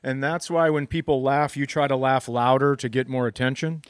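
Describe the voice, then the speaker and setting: A man's voice: medium pitch, fairly even intonation, moderate pace, dry recording. A man co-hosting a comedy podcast, riffing in casual banter and teasing with mock-serious questions.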